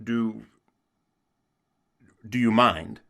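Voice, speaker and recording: An actor playing a devoted, honest young sailor son in an audio drama, speaking in an earnest, measured way. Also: male voice, lowish voice, gravelly, fairly bright tone, exaggerated voice.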